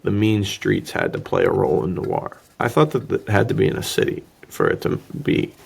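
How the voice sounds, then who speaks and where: A man with a lowish, husky voice full of heavy creak, chatting casually about films as a podcast co-host. He talks in a joking, bantering way. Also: very animated, fairly quick, a little mellow. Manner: joking, laughs easily. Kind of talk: casual film discussion banter.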